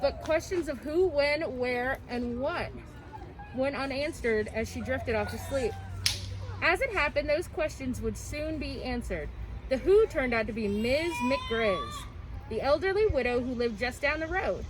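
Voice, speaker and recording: A woman with a high voice reads a children's picture book aloud. Her delivery is expressive storytelling, and the recording has clear background noise and ambience. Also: a little slow.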